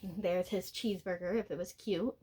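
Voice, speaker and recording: A woman reading aloud for children, pointing out the pictures in soft, simple asides. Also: fairly low pitch, fairly even intonation, moderate pace, background noise. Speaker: teacher-like read-aloud storyteller.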